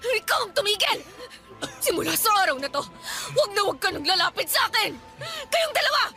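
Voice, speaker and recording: Radio drama: a fierce young heroine fighting back, high-pitched, over music. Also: female voice, sing-song, quick pace, exaggerated voice. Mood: furious shouting. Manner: shouting, tearful. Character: young woman, wronged pregnant heroine, fierce. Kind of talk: Tagalog radio drama acting. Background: music bed.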